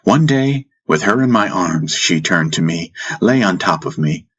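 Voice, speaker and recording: A man narrating a first-person fantasy audiobook in a measured way, with dramatic pauses. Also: deep voice, animated, fairly bright tone, breathy edge. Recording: music bed under the voice. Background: background music.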